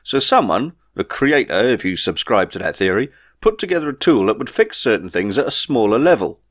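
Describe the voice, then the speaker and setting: A man's voice: fairly low pitch, sing-song, a little fast. A man voicing an easygoing, know-it-all spaceship pilot in an audiobook, chatty and reassuring. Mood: explaining, matter-of-fact. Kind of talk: audiobook character dialogue.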